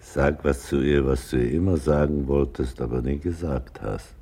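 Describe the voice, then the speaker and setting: Very deep, gravelly older storyteller. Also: male voice, full character voice. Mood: quiet prompting. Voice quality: gravelly, husky. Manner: deliberate, gentle, wry. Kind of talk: theatrical radio drama acting.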